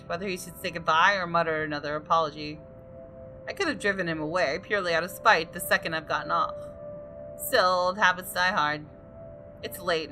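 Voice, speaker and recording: A woman's voice: lowish voice, bright, forceful tone. A woman hosting a gaming video, reading the story narration aloud expressively with casual asides, close to the microphone.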